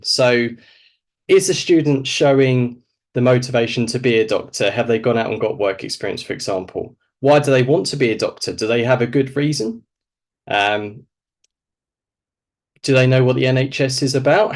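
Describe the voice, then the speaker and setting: Man, snuffly with a cold, mid-pitched voice, informal presenter, explanatory, question-led. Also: moderate pace. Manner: explanatory, poses questions then answers them. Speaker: presenter, doctor advising medical school applicants.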